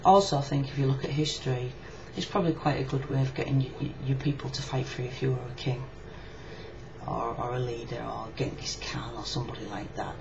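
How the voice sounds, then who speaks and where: Creaky-voiced Yorkshire woman, muffled noisy recording. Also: very deep voice, steady tone, fairly quick. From Yorkshire, England. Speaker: video blogger. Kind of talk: casual personal video reply, opinion talk. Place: indoors in a room. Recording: muffled lo-fi webcam audio, clear background noise.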